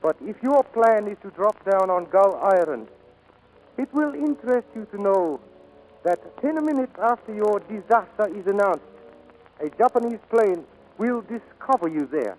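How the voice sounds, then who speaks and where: A high-voiced, sly foreign innkeeper. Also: male voice, lively intonation, darkish tone. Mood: quietly menacing. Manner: measured, courteous, broken English. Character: polite, sly, menacing foreign innkeeper spy. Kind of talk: 1940s radio acting. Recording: noisy old radio recording.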